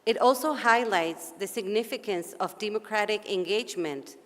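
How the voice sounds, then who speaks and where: A woman with an Argentine Spanish accent moderates a conference session, speaking formal English at a measured, deliberate pace. Her voice sits at an ordinary middle pitch. The sound carries the long reverb of a large hall. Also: a bit bright.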